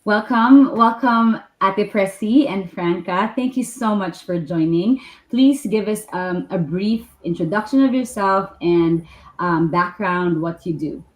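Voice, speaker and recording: A woman's voice: medium pitch, unhurried. A woman with a Filipino accent, hosting a live online interview as the presenter. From Philippines. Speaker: host. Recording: echoey room.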